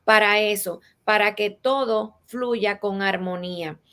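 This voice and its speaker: A woman's voice: medium pitch, slow pace, bright, forceful tone. A woman with a Latin American Spanish accent, speaking as an expert guest in an interview and explaining rules with practical examples in a didactic way.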